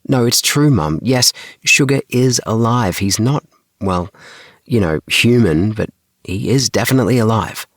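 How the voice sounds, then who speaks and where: Earnest, protesting boy in a kids' audiobook, done by a male reader, pitched up, dry close recording. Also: expressive, measured pace, no echo. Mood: insistent, indignant. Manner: earnest, insistent, exclaiming. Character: Tim, earnest young boy pleading with parents.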